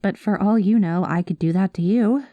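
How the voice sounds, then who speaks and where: A woman playing a cynical, guarded space smuggler with a soft spot, talking to the listener in a wry, world-weary way in an ASMR roleplay. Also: medium pitch, normal speed, no echo, dull audio, natural voice. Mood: cautioning, cynical.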